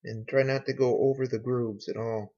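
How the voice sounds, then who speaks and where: A man giving a casual how-to narration in an unhurried, folksy way, talking through each step as a hobbyist. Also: medium pitch, fairly even intonation, darkish tone. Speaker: hobbyist demonstrating a repair. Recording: dull, lo-fi home recording.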